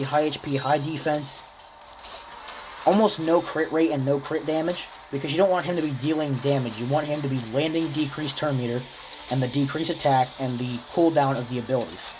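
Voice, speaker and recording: A man with a slightly raspy, stuffy voice from a cold, casually explaining a game character's build step by step. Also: fairly high pitch, brisk, noisy background. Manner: explains step by step, relaxed and chatty. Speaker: gaming video creator. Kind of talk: casual game guide commentary.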